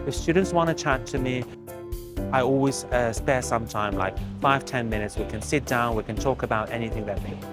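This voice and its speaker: A man from Russia, an English teacher talking earnestly in an interview, pausing often as he thinks. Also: medium pitch. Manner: earnest, chatty, with many pauses. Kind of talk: documentary interview talk. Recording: background music and ambient noise.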